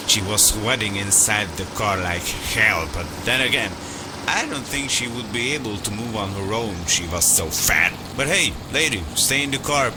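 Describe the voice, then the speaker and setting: A man's voice: on the low side, close to an everyday voice. An actor playing a hot-headed, sardonic male radio host who grumbles through comic monologues in a video game. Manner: grumbling, rambling, complaining. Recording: loud music and car noise over voice. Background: loud music, car engine.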